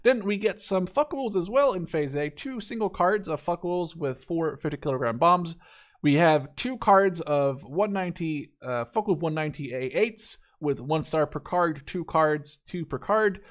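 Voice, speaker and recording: A man's voice: on the high side, lively intonation, normal speed. A man in his twenties giving casual, unscripted gameplay commentary in a rambling, opinionated, informal way. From Netherlands. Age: 20s. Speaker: gaming YouTuber reviewing a game deck.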